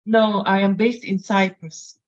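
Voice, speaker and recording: A woman's voice: mid-range voice, level tone, a little slow, muffled recording. A woman from Lebanon, a student in an online class, asking a question and replying briefly. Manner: brief, agreeable replies. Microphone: video call.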